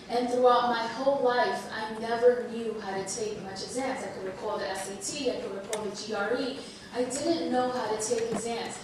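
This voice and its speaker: A woman whose first language is Spanish, a bit under the weather, telling her story to an audience in an earnest, halting way with many restarts. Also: on the high side. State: under the weather, slight cold. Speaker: panel speaker. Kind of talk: personal talk to an audience. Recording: echoey hall, far from mic, noisy.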